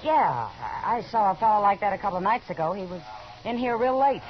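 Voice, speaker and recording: A woman's voice: medium pitch, expressive, a little fast, lightly acted. An actress playing a worldly, familiar saloon woman in a casual manner, in a 1950s radio western. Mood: familiar, curious. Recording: dull 1950s radio recording.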